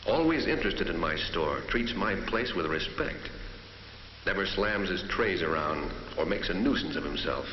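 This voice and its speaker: A man's voice: low voice, expressive, normal speed. A man playing an approving, plain-spoken grocer thinking aloud in a reverberant voice-over in a training film. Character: approving male grocer's inner thoughts. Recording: echoing, muffled film soundtrack, hiss.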